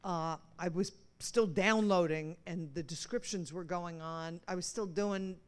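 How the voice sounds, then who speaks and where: A woman in an audience giving candid, halting, informal feedback. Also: on the low side. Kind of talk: informal spoken critique.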